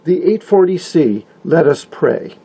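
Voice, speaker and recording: A man voicing a solemn mock preacher who intones archaic scripture in a pompous, drawn-out, ceremonial way for a hobby video. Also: a little high, expressive, mellow, slightly rough, exaggerated voice.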